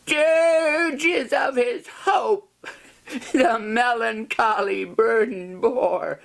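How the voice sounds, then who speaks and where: A man giving a demented, melodramatic recitation of poetry in a raspy, gravelly voice, overwrought and highly theatrical. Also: drawn-out words, fairly bright tone. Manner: overwrought, melodramatic, swinging from whispers to shrieks.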